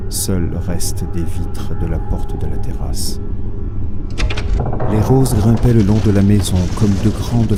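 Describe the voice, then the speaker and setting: An actor playing a haunted, brooding man who narrates his uneasy memories in a solemn, measured literary reading. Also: fairly low pitch, close to an everyday voice. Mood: mounting dread. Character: haunted, brooding man recalling an uncanny old house. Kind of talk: dramatised literary reading with sound design.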